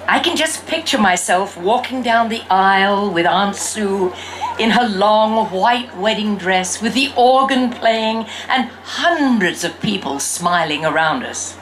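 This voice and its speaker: Woman voicing an animated little fairy princess girl. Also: mid-range voice, slight background noise, poor audio. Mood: bright, self-assured. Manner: expressive, theatrical, drawn-out emphasis. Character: exuberant young girl who fancies herself a fairy princess. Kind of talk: first-person storybook read-aloud.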